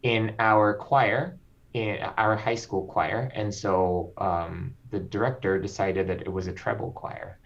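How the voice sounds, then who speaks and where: A man from the Philippines, a composer guest speaking slowly and reflectively, with frequent ums. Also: on the low side, fairly even intonation, vocal fry. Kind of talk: interview conversation. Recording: slightly dull lo-fi audio.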